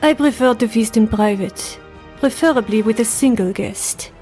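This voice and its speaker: A woman's voice: fairly high pitch, moderate pace, dark tone, soft background music, natural voice. A woman voicing a cool, flirtatious aristocratic countess with a poised, sardonic manner in a fantasy drama. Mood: sly, teasing.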